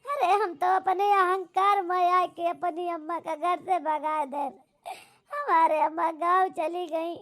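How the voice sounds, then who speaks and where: A woman's voice: very high-pitched, fairly even intonation, fairly bright tone, exaggerated voice. A woman voicing a guilt-stricken daughter in a cartoon melodrama, crying and wailing remorsefully with an Awadhi accent. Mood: tearful remorse. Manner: wailing, drawn-out lament.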